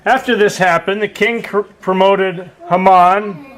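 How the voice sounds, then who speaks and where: A man narrating a children's puppet show as a storyteller, with simple, deliberate phrasing. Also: high voice, steady tone, noisy background.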